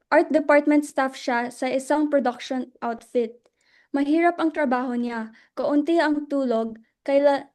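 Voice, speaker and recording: A woman acting a guarded, emotional widow in a dramatic reading, halting and defensive as she speaks. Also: high voice, dark tone. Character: Luella, guarded, emotional widow defending her dead husband.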